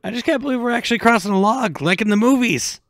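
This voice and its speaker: Man voicing an earnest, creaky-voiced cartoon kid. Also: extremely high pitch, quick pace, fairly bright tone, dry recording, pitched above the performer's own voice. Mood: excited. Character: earnest, slightly awkward cartoon kids.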